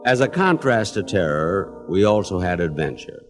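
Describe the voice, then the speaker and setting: A low-pitched man narrates a nostalgic documentary. His intonation is very lively. Loud music plays behind him. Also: normal speed. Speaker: host and narrator.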